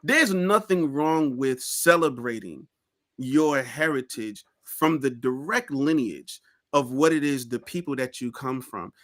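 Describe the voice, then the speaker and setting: Earnest bright-voiced New man. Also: mid-range voice, expressive, a little slow. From Queens, New York. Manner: earnest, emphatic, repeats key phrases. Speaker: podcast guest, musician and historian. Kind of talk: casual interview chat.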